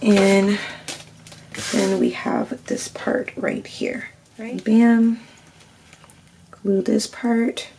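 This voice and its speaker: Slow, gravelly woman demonstrating crafts. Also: mid-range voice, huge pitch swings, dark tone, slight background noise, rough recording. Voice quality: slightly nasal and stuffy. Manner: chatty, narrates each step, keeps asking "right?". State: scratchy throat from allergies. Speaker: craft tutorial host. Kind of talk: casual step-by-step crafting demo.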